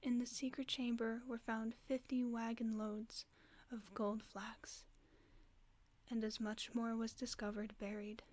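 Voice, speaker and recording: A woman voicing a gentle, caring werewolf friend, reading a bedtime story in a soft, often whispered voice for a sleep-aid roleplay. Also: a little high, level tone. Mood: soothing. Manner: slow soothing bedtime reading.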